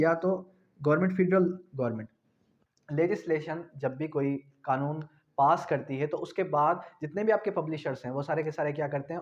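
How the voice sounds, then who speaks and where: A man's voice: on the high side, a little mellow. A man with a Pakistani accent gives an explanatory law lecture, often repeating points and answering his own questions. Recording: dull, lo-fi sound.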